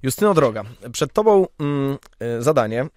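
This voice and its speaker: Young male interview host, medium pitch, conversational delivery, dry studio-style capture. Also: sing-song, moderate pace, a little mellow, no echo. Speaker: podcast host. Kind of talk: casual interview hosting.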